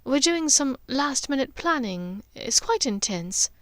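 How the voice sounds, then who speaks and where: A woman voicing an earnest young witch in an audiobook, brisk and explanatory. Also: high-pitched, very animated, a bit bright, no room sound, studio quality, voice pushed up, heavily acted. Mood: earnest, apologetic. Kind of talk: audiobook character dialogue.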